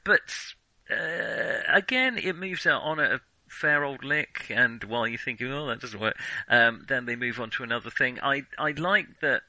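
A man casually discussing films as a podcast host, speaking in halting, hesitant phrases. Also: medium pitch, lively intonation, bright, forceful tone, no echo, low-quality recording.

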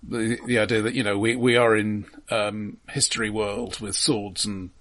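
A man co-hosting a film podcast, giving casual criticism in a measured, analytical way and qualifying his points as he goes. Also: lowish voice, little pitch movement, a bit bright.

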